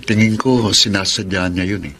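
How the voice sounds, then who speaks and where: A man's voice: on the low side, fairly even intonation, normal speed, fairly bright tone. A Filipino actor playing a lovesick, sorrowful admirer who narrates his letter in a sentimental, poetic way for a radio drama. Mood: sorrowful, tender. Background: music.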